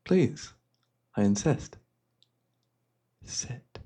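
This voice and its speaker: A man playing a devious, cultured hypnotist and collector in a fantasy ASMR roleplay, speaking in florid, theatrical phrases with a softly coaxing manner. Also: mid-range voice, expressive, very slow, husky, exaggerated voice. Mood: gently coaxing.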